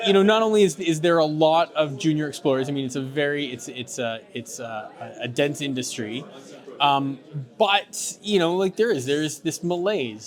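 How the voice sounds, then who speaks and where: Rambling male interviewer. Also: on the high side. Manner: rambling questions with many fillers and restarts. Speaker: interviewer for a mining news channel. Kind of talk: conference interview questions.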